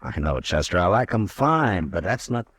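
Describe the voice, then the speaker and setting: A steady frontier marshal in an old radio western, speaking in a very deep, low voice. He talks in a dry, measured, firm way. The sound is close and dry. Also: no room sound, heavily acted. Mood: calm. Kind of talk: 1950s radio drama acting.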